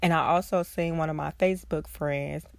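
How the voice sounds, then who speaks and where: A woman's voice: sits low, fairly even intonation, vocal fry, no echo. A young woman hosting a casual solo podcast, congested from a cold, talking in an earnest, heartfelt way. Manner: earnest, heartfelt, slow and reflective. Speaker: podcast host, social worker.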